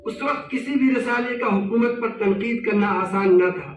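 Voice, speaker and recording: Man, formal paper reading, ornate diction, high voice, echoey hall. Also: moderate pace, recorded from a distance. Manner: formal, heavy Persian-Arabic Urdu diction. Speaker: seminar speaker. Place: seminar hall. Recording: echoey hall recorded from afar.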